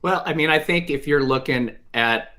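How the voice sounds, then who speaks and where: A man giving casual sports advice as a panelist, speaking haltingly and repeating words for emphasis. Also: a little high, sharp tone. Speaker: fantasy football panelist.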